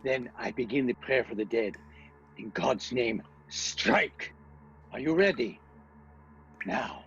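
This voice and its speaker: An actor playing a commanding older professor and vampire hunter in a radio drama, speaking in solemn, oratorical, stilted foreign-sounding phrases. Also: male voice, mid-range voice, animated, slow pace, fairly bright tone. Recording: dull lo-fi audio, music behind.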